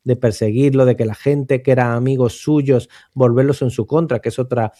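A man's voice: mid-range voice, fairly even intonation, moderate pace, warm tone. A man hosting a casual chat about literature and film, talking in a discursive way with restarts and hesitations. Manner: discursive, many restarts and "eh", rising emphasis. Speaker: host, literature commentator.

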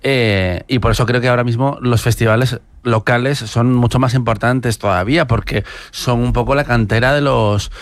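A man from Cantabria talking in a podcast discussion, pausing often with fillers. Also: mid-range voice, fairly even intonation, no room sound. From Cantabria, Spain. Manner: hesitant, many fillers. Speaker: podcast co-host. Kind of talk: casual discussion.

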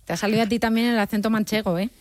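A woman's voice: medium pitch, fairly even intonation, rapid-fire. A woman with a Spain Spanish accent, hosting a conversational interview. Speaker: radio host interviewing.

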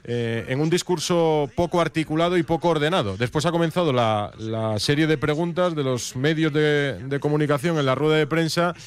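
Clear, higher-pitched Spanish male reporter. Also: a little fast. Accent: Castilian Spanish. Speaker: sports news reporter. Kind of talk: live news report in dialogue with an anchor.

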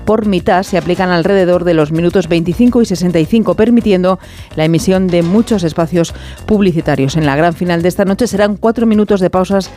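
A man presenting a news bulletin as anchor, reading a script in a measured way. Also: high-pitched, mellow, soft background music.

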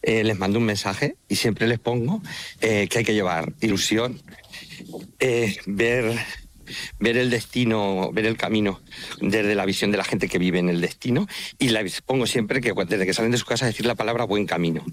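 A man's voice: lowish voice, moderate pace, a little hiss or hum. A man with a La Mancha Spanish accent, calling in while walking outdoors beside an estuary, answering in a hesitant way with many fillers and restarts. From Spain. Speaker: guest, pilgrimage travel agent. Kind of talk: casual interview answers.

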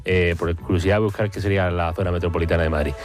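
A man's voice: sits low, fairly even intonation, fast talker, faint music behind. A man hosting a radio quiz, teasing and repeating himself in joking studio banter.

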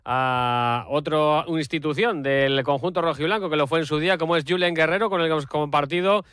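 A male sports reporter delivers news links in a fluent, steady manner. His voice is fairly high and smooth, recorded close and dry with no room sound. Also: a little fast, no echo. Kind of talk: news commentary.

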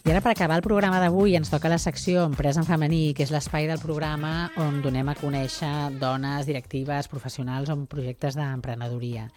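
A woman radio host, interviewing in a chatty way with halting restarts. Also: deep voice, steady tone, over music.

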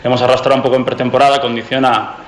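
A man, a basketball coach, answering press conference questions in a measured, slightly hesitant way. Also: medium pitch, little pitch movement, a little hiss or hum. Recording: echoey press room.

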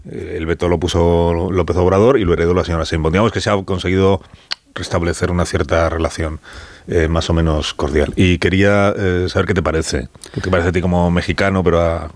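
A man with an accent from Spain hosting a live radio panel, conversational, often pausing to rephrase as he presents. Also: sits low, fairly even intonation, no room sound. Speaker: radio talk show host. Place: radio studio.

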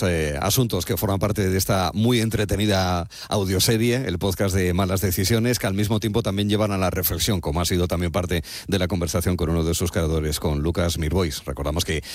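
A man's voice: low-pitched, fairly even intonation, brisk, few pauses, dry recording. A man with an accent from Spain hosting a radio interview, courteous and discursive, building long questions with asides. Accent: Spanish, from Spain.

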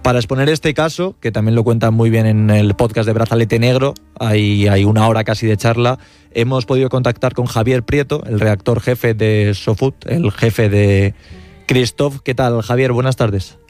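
A man's voice: medium pitch, fairly even intonation, normal speed, darkish tone, faint music behind. A man hosting a radio sports show, explaining a story in a conversational way.

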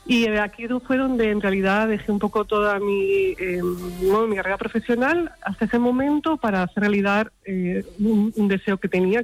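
A woman author and illustrator, interviewed remotely, speaking with many pauses and fillers. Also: medium pitch, no room sound, low-quality recording. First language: Spanish. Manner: hesitant, many fillers and restarts. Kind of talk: radio interview by remote line. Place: remote from abroad.

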